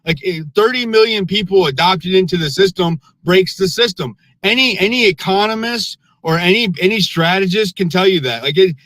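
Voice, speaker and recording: A man in a live online audio room, lecturing forcefully in a heated political argument and restarting his sentences with repeated words. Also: sits high, no room sound, poor audio. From United States. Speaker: panelist in live audio space.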